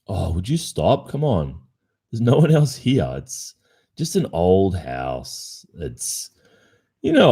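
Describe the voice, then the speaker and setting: A man's voice: rumbling low, dark tone, exaggerated voice. A man with an Australian accent acting a cheating, casually evasive young boyfriend in an ASMR roleplay, speaking conversationally with hesitations. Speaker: roleplay performer.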